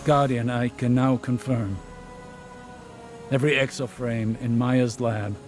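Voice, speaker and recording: An actor playing a solemn, reflective man devoted to his love, speaking gravely and eloquently in scripted game dialogue. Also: medium pitch, darkish tone, natural voice.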